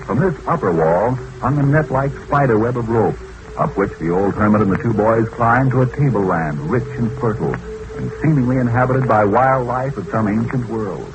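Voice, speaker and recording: A man's voice: on the low side, dull audio. A man narrating an old-time radio adventure serial in scripted storytelling style. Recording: noisy old radio recording.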